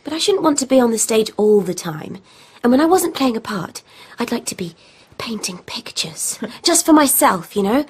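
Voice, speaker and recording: A woman's voice: a little high, big pitch swings, normal speed, hardly any pauses, husky, exaggerated voice. An actress playing an exuberant, dreamy, impulsive young daughter in a period radio play, gushing out her words. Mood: excited, dreamy. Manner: gushing, breathless listing.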